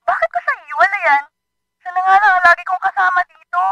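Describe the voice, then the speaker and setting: A woman's voice: very high-pitched, sing-song, fast, bright, forceful tone, dry recording, dull audio, heavily acted. A woman playing a rebellious teenage daughter who talks back to her father in a radio drama. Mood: resentful. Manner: defiant, sulky, talking back.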